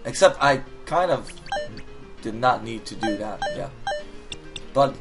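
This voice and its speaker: Slow-talking man narrating his gameplay. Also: mid-range voice, over loud music. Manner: animated, reads on-screen hints aloud, jokey outbursts. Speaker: gaming video host. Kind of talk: casual let's-play commentary.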